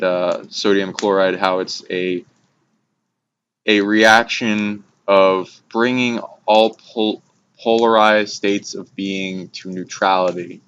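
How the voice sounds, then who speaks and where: Low monotone man rambling, lo-fi. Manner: halting, trailing phrases with abstract jargon. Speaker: speaker on esoteric topics. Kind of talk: casual rambling spiritual discussion. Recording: dull lo-fi recording with clear background noise.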